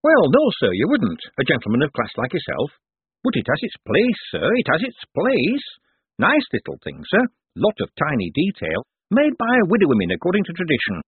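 Man playing a raised, husky, sir-saying old foreman, tinny dry line. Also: fairly high pitch, wildly swooping pitch, moderate pace, dry recording, voice pushed up, exaggerated voice. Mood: earnest. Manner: rustic grammar, calls everyone sir. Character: wily older male mint foreman, deferential. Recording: tinny phone-like dry sound.

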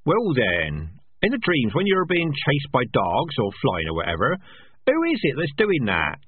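Brief: a man putting on an inquisitive young rat, high and squeaky, dry tinny audio. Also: wildly swooping pitch, unhurried, slightly rough, dry recording, full character voice. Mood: wondering. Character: earnest curious young male rat. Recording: dry, tinny phone-like sound.

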